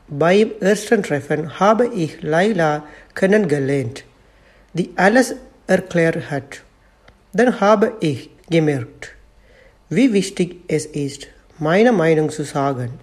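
A man from Sri Lanka, not a native German speaker, reading a personal statement slowly and with care. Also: on the high side, a little mellow. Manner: slow, careful non-native delivery. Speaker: community member.

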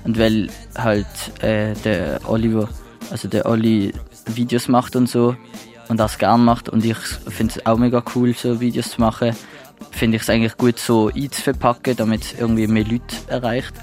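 A teenage man who grew up speaking Italian answers in a casual interview with a Swiss German accent. His delivery is hesitant and full of fillers, and his voice stays very flat and fairly low. Clear guitar music plays behind him. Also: normal speed. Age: teens. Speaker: young rapper interviewed.

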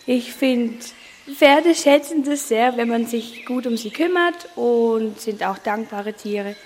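A woman's voice: fairly high pitch, takes it slowly, darkish tone, in a large hall, a little hiss or hum. A woman in her twenties, an apprentice, answering casually with some hesitation. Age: 20s. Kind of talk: interview answers.

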